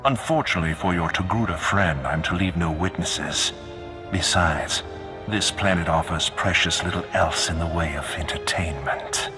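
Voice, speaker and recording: An actor playing a cold, sadistic aristocratic villain in a video game, speaking in a pompous, menacing way. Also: male voice, low voice, normal speed, over music, close to an everyday voice. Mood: sadistic, gloating.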